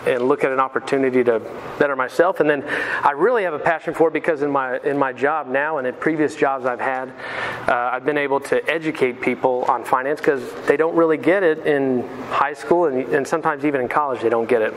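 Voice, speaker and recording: A man's voice: medium pitch, fairly quick, a little hiss or hum, rough recording. A man in his thirties, originally from Pensacola, Florida, giving a casual finance seminar as a mortgage sales manager. He is chatty and self-deprecating and keeps asking the audience questions. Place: lecture room.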